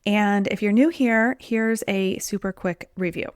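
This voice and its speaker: A woman's voice: medium pitch, a little slow, clean tone, dry recording, clean studio sound. A woman hosting her own podcast as a weight loss coach, talking in a chatty, conversational way, her voice a little off from being sick. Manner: chatty, conversational, says "like" and "um" often. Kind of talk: solo podcast talk.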